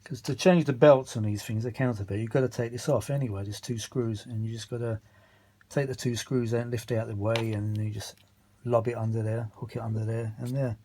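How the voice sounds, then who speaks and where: A man's voice: mid-range voice, steady tone, fairly quick, warm tone, slight background noise. Tired, grumbling British repairman. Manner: rambling, searching for words, muttering asides; grumbling, mildly sweary, exasperated. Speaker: vintage audio repair vlogger. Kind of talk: casual unscripted workbench repair commentary.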